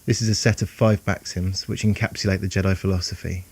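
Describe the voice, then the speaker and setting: A man guiding a meditation in a calm, soothing, unhurried way, leaving long pauses between phrases. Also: low voice, slightly rough. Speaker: meditation guide. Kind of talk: guided meditation narration.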